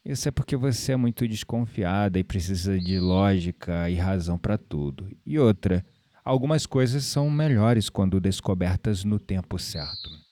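A calm, reflective Brazilian man of the countryside in an audio series, acted by a man. His voice is low and very mellow, with a gently teasing, spiritual manner. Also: normal speed. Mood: earnest, philosophical. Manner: gently playful, reflective, philosophical. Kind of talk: audio drama acting.

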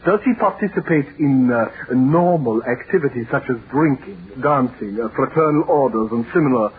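A man's voice: mid-range voice, animated, normal speed, close to an everyday voice. An actor playing a fussy, officious commission chairman, orating in formal, hesitant phrases in 1930s radio style. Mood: pompous. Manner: pompous, officious, hesitant orator with formal wording. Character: fussy, pompous male chairman of a lunacy commission. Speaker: actor in radio horror drama. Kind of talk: 1930s radio satire acting. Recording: tinny 1930s radio recording.

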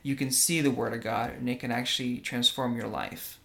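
A man's voice: mid-range voice, fairly even intonation, moderate pace, vocal fry, pushed and bright. A young man from Russia co-hosting a casual religious podcast, earnest and often checking in with "right?". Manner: earnest, tag questions like "right?". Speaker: podcast co-host.

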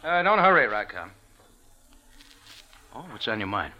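An actor playing a wry, tough American cafe owner and reluctant sleuth, narrating and acting in a 1940s radio drama. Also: male voice, fairly low pitch, big pitch swings, measured pace, bright, forceful tone, exaggerated voice. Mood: wry, guarded. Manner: wry, laconic, hard-boiled. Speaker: lead character and narrator. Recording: old radio recording with music.

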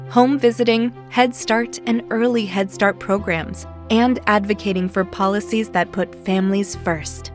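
A woman reading scripted narration for a public-service advertisement. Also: mid-range voice. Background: faint music.

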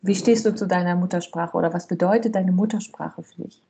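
A woman's voice: on the low side, fairly even intonation, fairly quick, gravelly, mellow, a little hiss or hum. A woman interviewer putting questions and short responses to her guest in a conversational way.

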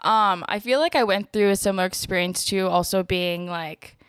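A woman's voice: mid-range voice, normal speed, a bit bright, clear, resonant tone, no echo, studio quality. A woman interviewing a guest, asking curious, meandering questions with many fillers.

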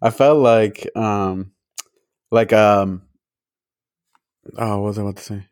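A man's voice: on the low side, fairly even intonation, slow, a little mellow, clear, resonant tone, no echo, studio quality. A young man chatting casually as a podcast host, rambling and losing his train of thought. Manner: rambling, loses train of thought, many fillers. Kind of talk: casual chat.

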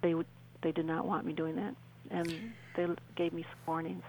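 A woman speaking as a guest on a radio show, telling her personal story in an earnest, halting way with repeated phrases. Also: on the low side, flat delivery, gravelly, mellow, poor audio. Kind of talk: personal account in an interview.

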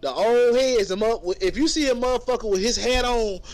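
A man's voice: extremely high pitch, a little fast. A man in his twenties with a Southern US accent co-hosting a casual comedic chat, talking in emphatic, profane slang. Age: 20s. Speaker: podcast co-host.

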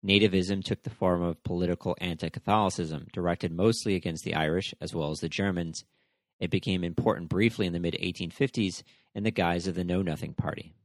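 A man hosting a history podcast, reading a scripted narration in a measured, explanatory way. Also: sits low, steady tone, no echo. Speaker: podcast host.

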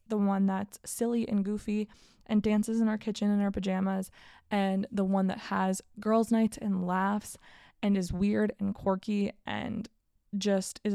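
A woman's voice: medium pitch, creaky, dark tone, no room sound, clean studio sound. A woman in her late twenties hosting a casual solo podcast, talking candidly and upbeat about her year. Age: 20s. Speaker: podcast host.

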